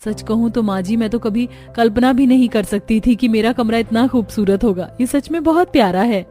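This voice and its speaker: A woman's voice: fairly high pitch, fairly quick, mellow, soft background music, lightly acted. A woman playing a young daughter-in-law in a family cartoon. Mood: hopeful.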